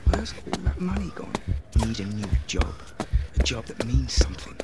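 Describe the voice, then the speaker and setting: An actor playing an anxious, self-deluding man muttering clipped, repetitive resolutions to himself in a breathy half-whisper, as an audio drama inner monologue. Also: mid-range voice, big pitch swings, moderate pace, loud music behind the voice, close to an everyday voice. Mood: anxious, fretting.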